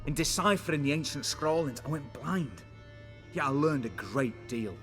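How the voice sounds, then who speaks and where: A blind scholar speaks in formal, theatrical phrases, haunted and ominous. It is video game dialogue with music clearly playing behind him. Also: male voice, a little high, animated, moderate pace, fairly bright tone. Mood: grave, ominous. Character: blind, fearful old scholar, learned and ominous.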